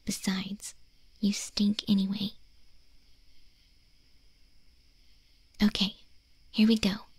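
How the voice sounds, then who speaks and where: A woman playing a teasing, caring wolf girl in an ASMR roleplay, speaking in a soft, breathy whisper with a gently deadpan manner. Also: mid-range voice, no echo. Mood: dryly reassuring.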